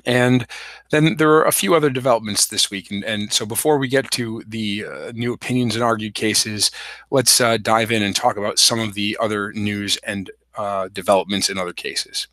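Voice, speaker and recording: A man hosting a solo legal explainer livestream, hoarse from a cold, talking with many fillers and restarts. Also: fairly low pitch, fairly even intonation, moderate pace, fairly bright tone, no room sound. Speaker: podcast host.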